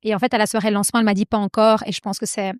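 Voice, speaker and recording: Une femme belge à l'belge, autrice invitée, qui parle de façon hésitante avec beaucoup de « euh » et de « en fait ». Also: female voice, mid-range voice, flat delivery, very fast, clean tone, no echo, studio quality. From Belgium. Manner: hesitant, many fillers and restarts. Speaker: guest author. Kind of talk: casual interview conversation.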